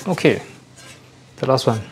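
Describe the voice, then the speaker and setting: A man giving a casual unboxing chat as a host, often pausing to rephrase himself. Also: medium pitch, animated, heavy background noise. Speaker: host of an audio gear channel.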